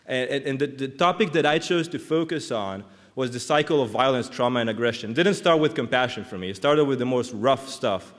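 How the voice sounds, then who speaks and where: A man's voice: mid-range voice, a little fast. A man in his thirties from Israel, speaking English, giving an earnest, reflective conference talk as a clinical psychologist. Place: large conference hall. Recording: long hall reverb.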